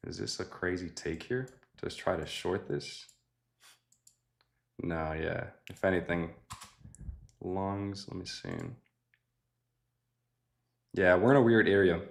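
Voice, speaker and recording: A young man hosting a live trading stream, chatting casually with viewers and thinking out loud, often dropping to a quiet mutter. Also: low voice, fairly even intonation, takes it slowly, creaky, pauses often. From Mexican family. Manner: laid-back, thinks aloud, often mutters quietly to himself. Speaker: livestream host trading futures.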